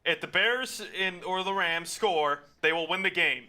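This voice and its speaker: Football stream co-host man, calm analytic read, slightly high and bright, desk mic. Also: unhurried, faint music behind. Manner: calm analytic, explains situations and strategy. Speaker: watch party co-host. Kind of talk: casual football analysis on a livestream.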